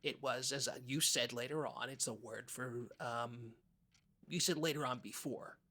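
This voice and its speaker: A man performs a naive small-town podcast co-host with a husky, slightly rough voice. He stumbles and hesitates through improvised comedy banter, sounding timid, earnest and easily offended. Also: medium pitch, normal speed, bright, forceful tone. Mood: earnest, hesitant.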